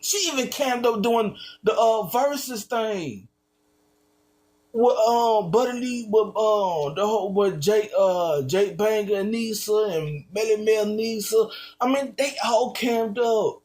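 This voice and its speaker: A man's voice: high voice, takes it slowly, darkish tone. A man streaming, reacting to a video with slangy, incredulous casual commentary.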